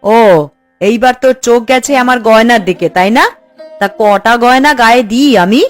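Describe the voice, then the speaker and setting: A fretful cartoon housewife acted by a woman with a fairly high voice. She scolds and coaxes expressively, with music in the background. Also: moderate pace, natural voice. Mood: exasperated. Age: adult. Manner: exasperated, coaxing, expressive. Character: fretful, scolding housewife and doting mother of a boy. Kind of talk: cartoon voice acting.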